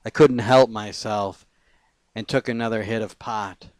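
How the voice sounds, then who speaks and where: Guy with a lowish voice reading his poems out loud, short deliberate lines, pauses, really dry sound. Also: fairly even intonation, clear, resonant tone, dry recording. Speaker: poet. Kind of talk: spoken-word poetry reading.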